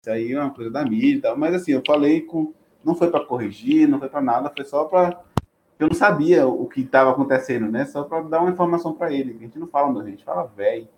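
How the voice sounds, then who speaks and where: A man's voice: medium pitch, fast, a little mellow, slight background noise. A man from Bahia with a Bahian accent, talking casually and restarting his sentences often. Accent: Bahian Portuguese. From Bahia, Brazil. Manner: hesitant, many restarts and fillers. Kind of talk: casual conversation.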